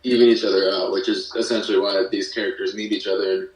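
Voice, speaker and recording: A man with a fairly low voice talks casually as a podcast guest. His delivery stays even and thoughtful as he explains. He sounds set back from the mic in an echoey room. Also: quick pace, distant mic. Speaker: podcast guest, musician and audio drama creator. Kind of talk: casual interview chat.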